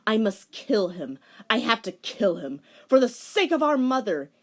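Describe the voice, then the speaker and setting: A woman's voice: a little high, big pitch swings, measured pace, a bit bright, voice pushed up, heavily acted. A woman voicing a menacing, bitter male vampire lord in a dramatic fan fiction reading, with grandiose villain delivery. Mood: vengeful.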